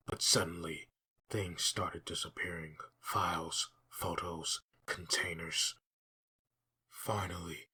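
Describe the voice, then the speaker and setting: A man voicing a grim, haunted undead assassin in a brooding comic dub monologue, with a raspy, gravelly growl. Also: low-pitched, bright, forceful tone, heavily acted.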